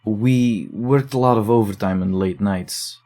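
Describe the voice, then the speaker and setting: A man in an audio drama, calmly explaining findings and reading a patient's letter aloud. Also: lowish voice, measured pace. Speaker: investigator reading case files.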